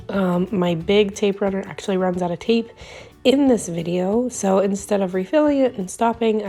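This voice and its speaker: A woman hosting a scrapbooking video, talking casually over her crafting with a hoarse voice that is giving out from illness and lots of ums and little laughs. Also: mid-range voice, dark tone. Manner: rambling, chatty, frequent ums and little laughs.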